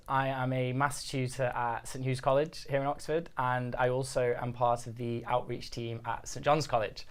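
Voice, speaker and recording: A man's voice: mid-range voice, fairly bright tone, smooth. A young man introducing himself as a maths tutor on a studio panel, eager and enthusiastic. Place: studio with balloons. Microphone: clip-on lapel mic.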